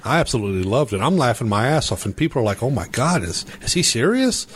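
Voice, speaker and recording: A man's voice: mid-range voice, sing-song, fast, slightly rough. A man banters on a podcast, teasing and joking. His voice sits in an ordinary middle range. His talk is loose and casual, with the odd curse. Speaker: podcast co-host. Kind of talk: casual chat.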